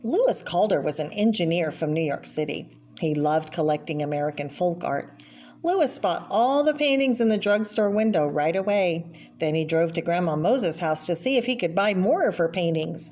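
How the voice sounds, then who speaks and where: A woman's voice: lowish voice, animated, darkish tone. A woman reading a children's picture book aloud, adding informal explanatory asides about the pictures. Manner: explanatory, pausing to name and comment on pictures. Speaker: read-aloud presenter for children.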